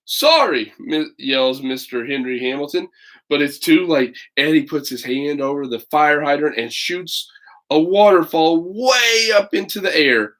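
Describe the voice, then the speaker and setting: A man's voice: fairly high pitch, measured pace, a bit bright, low-quality recording. A man reading a children's picture book aloud, breaking off for casual, folksy asides to his listeners. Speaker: read-aloud storyteller.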